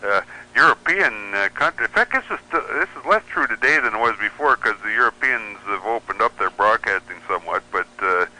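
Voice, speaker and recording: Male, American, radio interview guest. Lowish voice with a very sharp, bright edge, explanatory and opinionated. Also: expressive, brisk, low-quality recording. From United States. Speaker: radio show guest, pirate radio enthusiast. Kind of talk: conversational radio interview commentary.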